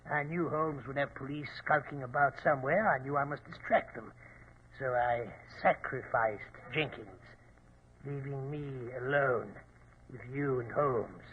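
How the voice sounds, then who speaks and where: An actor playing a theatrical, menacing criminal mastermind who savors each phrase in 1940s radio drama style. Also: male voice, mid-range voice, a little slow, close to an everyday voice. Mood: menacing, gloating. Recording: tinny, noisy old radio recording.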